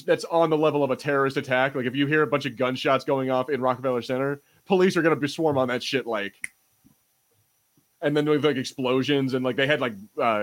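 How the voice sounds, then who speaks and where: A man co-hosting a podcast in casual pop-culture banter, speaking informally and swearing freely. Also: medium pitch. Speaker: podcast host.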